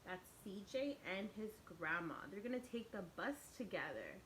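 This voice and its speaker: A woman teacher reading a picture book aloud to young children, expressive and explaining things simply. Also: mid-range voice, normal speed, slight background noise, low-quality recording. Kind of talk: read-aloud storytelling with asides to young listeners.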